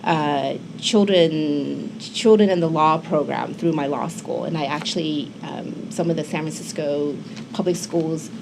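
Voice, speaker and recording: A woman in her fifties, born in Hong Kong, chatting as an interview guest with many fillers and restarts. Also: deep voice. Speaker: immigration attorney, guest. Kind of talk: casual interview talk. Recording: local TV studio with noisy background.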